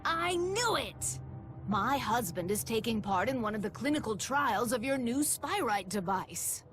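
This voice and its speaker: Outspoken wife, video game dialogue, reproachful, dramatic, slightly high pitch, soft background music. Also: female voice, animated, unhurried. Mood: flustered, relieved. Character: indignant, outspoken married woman gathering herbs.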